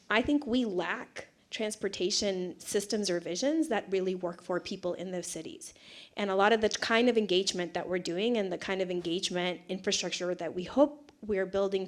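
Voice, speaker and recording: A woman on a conference panel talks in an earnest, hedging way. She often starts over mid-sentence while she works out her point, with a voice at a middle pitch for a woman. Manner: earnest, hedging, thinks aloud with restarts. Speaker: foundation panelist.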